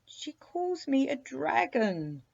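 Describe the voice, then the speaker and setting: A woman voicing an indignant, self-pitying sea serpent for a children's story, with a hoarse, drawn-out, growling delivery. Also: high voice, big pitch swings, a little mellow, dull audio, pitched above the performer's own voice, exaggerated voice. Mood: affronted groaning.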